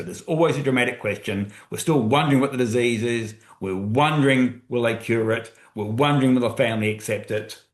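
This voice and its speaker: A man speaking as a co-author in a webinar, explaining at length and restarting phrases as he thinks aloud. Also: mid-range voice. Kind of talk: webinar talk. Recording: roomy echo.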